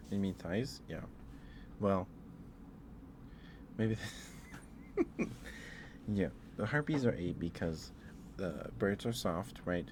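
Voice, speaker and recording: Male ASMR streamer, low voice, halting and rambling casual chat, clear noise in the recording. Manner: hesitant, rambling, often restarting mid-thought; chatting back to live viewers. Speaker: livestream host.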